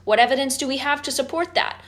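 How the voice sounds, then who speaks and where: A woman's voice: sits high, fast, a bit bright. A woman delivering a scripted, argumentative presentation.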